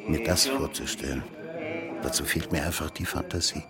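A man's voice: extremely low pitch, big pitch swings, moderate pace, over loud music. An actor playing a world-weary, cynical man who philosophizes in a rambling, theatrical, mock-courteous way in a radio drama. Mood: mocking, bitter. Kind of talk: German radio drama dialogue.

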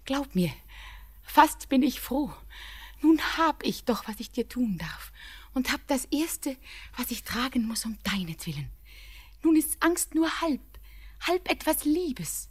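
An actress playing a young, tender bride who is anxious and devoted, speaking in an intimate, pleading manner in a classic radio play. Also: female voice, high-pitched, fairly bright tone, heavily acted. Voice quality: intimate, pleading, breathy whispers. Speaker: Anna, the bride.

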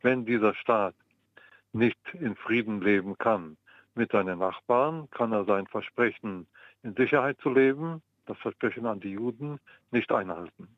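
A man's voice: fairly low pitch, no room sound. Older man on a tinny phone line. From Mandatory Palestine. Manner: measured, pausing with frequent ums. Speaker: guest historian. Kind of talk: interview answers.

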